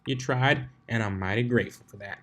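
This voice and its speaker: A man's voice: medium pitch, fairly quick, lightly acted. A man voicing a plain-spoken, kindly female farm pony in a story reading, with a folksy, rural way of talking. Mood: reassuring. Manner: folksy, rural turns of phrase, reassuring.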